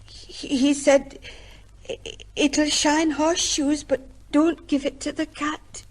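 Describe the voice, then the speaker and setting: A woman's voice: high voice, flat delivery, slow pace. An actress playing a timid, deferential young working woman in an old-time radio drama, speaking hesitantly with stammers. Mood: nervous, hesitant.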